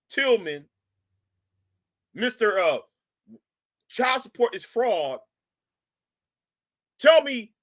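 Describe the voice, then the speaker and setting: Animated man scolding on a live stream. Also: sits high. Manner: animated, repetitive, mocking, scolding. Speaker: live stream host reacting to a video. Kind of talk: reaction commentary to a live chat.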